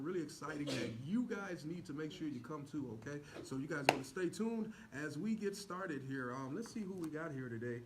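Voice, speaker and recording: A man co-hosting a livestream with playful, teasing banter and mock-churchy exclamations. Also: mid-range voice. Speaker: co-host greeting live viewers. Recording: noisy live video stream.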